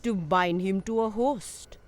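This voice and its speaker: A woman as a slow, solemn witch. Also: mid-range voice, close to an everyday voice. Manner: slow, ritualistic. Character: solemn occult sorcerer, cryptic and grave.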